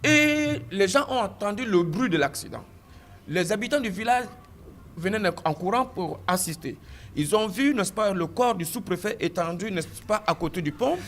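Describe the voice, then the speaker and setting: A man giving a testimony in an interview, telling his story with animated delivery, stammered restarts and repeated phrases. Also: sits high, normal speed, slight background noise. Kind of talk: recounting a personal story in an interview.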